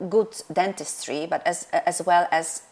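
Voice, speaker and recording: This is a middle-aged woman with a Polish accent and a low voice that has a gravelly edge. She talks about her work as a dentist in an interview, pausing often with fillers and restarting her sentences. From Poland. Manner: hesitant, many fillers and restarts. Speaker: dentist and practice owner. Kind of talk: interview answers.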